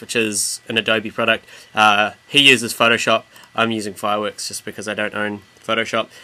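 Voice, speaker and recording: A man with a New Zealand accent explaining software step by step in an informal, slangy way as a tutorial presenter. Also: on the low side. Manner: relaxed, casual slangy explaining. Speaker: tutorial presenter and music producer.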